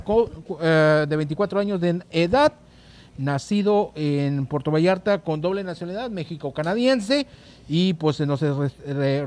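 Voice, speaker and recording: A man co-hosting a sports show, giving a news round-up in a halting, hesitant way with repeated words. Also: high voice, a little mellow. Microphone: handheld microphone, masked speaker.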